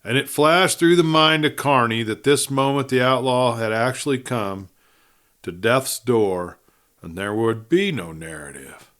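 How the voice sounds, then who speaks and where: Male narrator, Western audiobook, medium pitch, dramatic delivery, deliberate, emphatic. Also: expressive, fairly bright tone.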